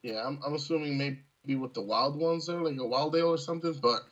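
A man's voice: fairly high pitch, fairly quick. A man in casual conversation as a podcast co-host, easygoing and joking, on a dull remote connection. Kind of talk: casual chat. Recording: dull, lo-fi voice channel.

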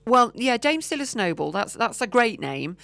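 A woman's voice: a little high, very animated, normal speed, vocal fry, fairly bright tone, dry recording. A woman co-hosting a podcast in casual chat, often trailing off with ums and restarts. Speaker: podcast co-host.

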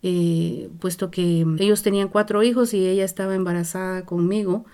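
An older Guatemalan woman with a Guatemalan Spanish accent, telling her life story as an interview guest, slowly and with many hesitations and restarts. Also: medium pitch, fairly even intonation, warm tone, clear, resonant tone. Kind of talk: reflective personal storytelling.

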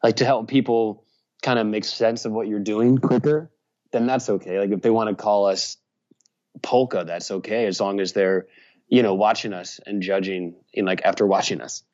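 A man's voice: lowish voice, steady tone, normal speed, a little mellow, muffled recording. A man from Virginia, a musician and journalist, giving rambling casual interview answers full of fillers and restarts.

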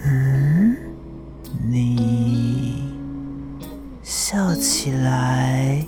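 A man's voice: medium pitch, extremely slow, reverberant. A man playing a sweet, easily flustered young male dentist in a romantic radio drama, stammering and dreamy. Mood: bashful. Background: prominent music.

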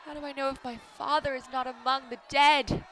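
Woman acting indignant horrified marcher, radio drama, very high sharp voice, some noise behind. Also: steady tone, fairly quick, a faint crowd. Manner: indignant, forceful.

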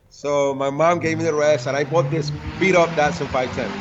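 Halting older man, muffled lo-fi sound. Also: fairly high pitch, huge pitch swings, over loud music. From Dominican Republic, raised in Puerto Rico. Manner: halting, many restarts, laughs between stories. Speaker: guest, car builder and tuner. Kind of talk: casual interview storytelling. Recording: dull lo-fi sound.

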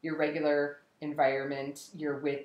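Frau als Podcastgast, erzählt animiert und spielt Zitate nach, halliger Raum. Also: female voice, low-pitched, moderate pace, reverberant, lo-fi sound. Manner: animated storytelling with quoted voices. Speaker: podcast guest. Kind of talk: casual chat.

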